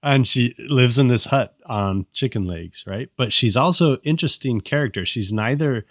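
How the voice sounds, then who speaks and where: A man from Southern California co-hosting a casual review, explaining in a measured, agreeable way with small pauses. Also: mid-range voice, no echo, studio quality. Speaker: co-host of a review show. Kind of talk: casual discussion with explanatory commentary.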